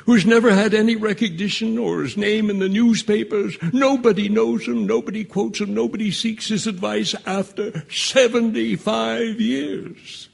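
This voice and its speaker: An actor playing a gentle old man on a jury in an audio drama, speaking haltingly with stammers and a thin, husky voice. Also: sits high, fairly even intonation, exaggerated voice. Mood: halting, sorrowful. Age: older. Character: frail, gentle old male juror, quietly earnest. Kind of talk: stage-style audio drama acting.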